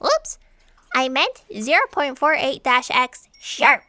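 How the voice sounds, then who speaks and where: A woman's voice: sits high, sing-song, slow, noisy background, full character voice. A woman playing an earnest, flustered and deferential fact checker in a comedic audio drama. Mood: apologetic, eager. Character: eager, flustered female fact checker, junior and anxious.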